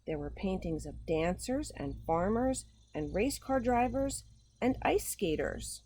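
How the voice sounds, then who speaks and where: A woman reading a picture book aloud to young children in an expressive, simple storytelling manner. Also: medium pitch, unhurried. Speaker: children's storytime host, gymnastics coach.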